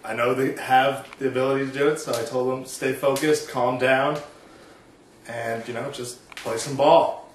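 A man playing a coach at a press conference, speaking haltingly with many pauses and ums. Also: medium pitch, level tone, distant mic, a little hiss or hum. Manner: halting, hesitant, full of ums. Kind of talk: comic press conference skit. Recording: echoey room, heard from afar.

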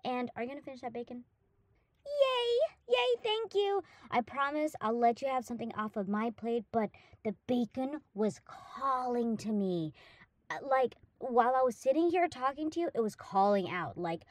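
A woman voices a young dog-girl full of eager affection. Her voice is high and swings up and down with excitement as she gushes and rambles. It is an audio roleplay, recorded very dry and close. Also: normal speed, no room sound, close to an everyday voice. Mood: playful, confessing. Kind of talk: roleplay audio drama, acted monologue to the listener.

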